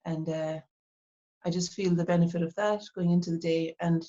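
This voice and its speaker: A middle-aged woman with an Irish accent from Cork, talking reflectively in an interview and pausing often with fillers and restarts. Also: on the low side, steady tone, mellow, dull audio. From Ireland. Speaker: artist, interview guest. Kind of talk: casual interview talk.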